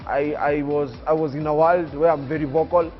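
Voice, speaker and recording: A man's voice: fairly high pitch, steady tone, moderate pace, muffled recording, soft background music. A nineteen-year-old man from Kenya telling his life story in an emphatic, oratorical way. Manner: oratorical, emphatic, preacher-like cadence. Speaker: young public speaker interviewed on TV. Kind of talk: earnest personal storytelling.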